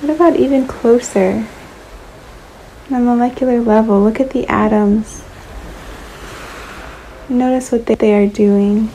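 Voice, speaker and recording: A woman leading a guided relaxation meditation in a soothing, unhurried manner, leaving long pauses between short phrases. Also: on the high side, dark tone, slight background noise, low-quality recording.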